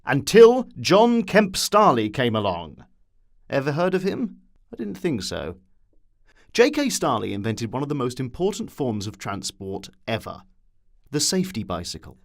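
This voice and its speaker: A man reads scripted documentary narration. His pitch moves up and down a great deal as he tells the story, with a wry streak in the delivery. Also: huge pitch swings, a little slow, vocal fry.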